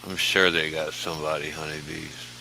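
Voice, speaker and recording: A man's voice: extremely low pitch, flat delivery, moderate pace, creaky, sharp tone, a little hiss or hum, low-quality recording. A man chatting casually as a participant in a live stream. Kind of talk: casual chat.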